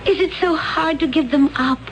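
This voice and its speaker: A calm, eerie alien woman from another world, played by a woman in a 1970s radio drama. Her voice sits high, and she speaks in an even, measured, detached way. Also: lightly acted, rough recording. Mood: serene. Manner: flat, measured, otherworldly delivery.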